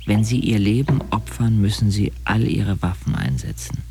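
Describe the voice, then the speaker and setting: A man's voice: on the low side, very animated, moderate pace, creaky, husky, heavily acted. An actor playing an intense, brooding young man who narrates his inner life tersely in a radio drama. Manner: terse, introspective, literary. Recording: loud music under the voice.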